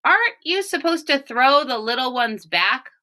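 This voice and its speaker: A woman reading aloud plays a young boy in a picture book. His voice is high and bright, earnest and full of curiosity. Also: expressive, moderate pace. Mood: puzzled. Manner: earnest, questioning. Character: young boy, curious, troubled storybook hero.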